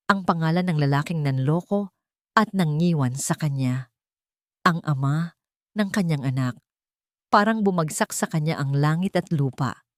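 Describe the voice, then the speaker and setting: A woman with a slightly low voice narrates a scripted love story. Her delivery is dramatic storytelling, captured in a very dry recording. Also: no room sound.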